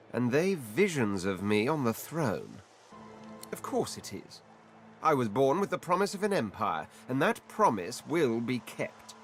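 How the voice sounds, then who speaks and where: An actor voicing a haughty, imperious lizard prince in a video game, speaking in an ornate, pompous and commanding way. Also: male voice, medium pitch, fairly bright tone. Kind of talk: theatrical video game voice acting.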